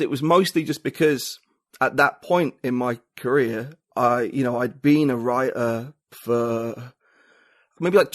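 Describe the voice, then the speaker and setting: Chatty British man, a writer and guest. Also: medium pitch. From United Kingdom. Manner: chatty, hesitant with many restarts. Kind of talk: casual interview chat.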